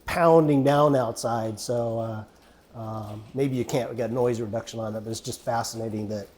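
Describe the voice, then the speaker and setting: A man's voice: mid-range voice, moderate pace, darkish tone. A man hosting a casual faith interview, chatty and affirming, with plenty of fillers. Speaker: podcast host.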